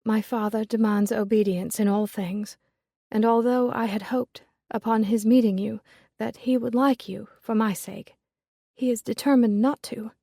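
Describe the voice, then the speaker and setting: A woman voicing a genteel young lady who speaks softly and pleads in distress. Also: on the high side, steady tone, normal speed, vocal fry, no room sound, studio quality.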